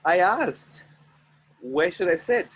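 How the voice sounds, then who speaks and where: A man from India with an Indian accent, facilitating an online group call from outdoors near the ocean. He speaks in deliberate, chant-like repetitions, guiding listeners through clearing phrases. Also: high-pitched, lively intonation.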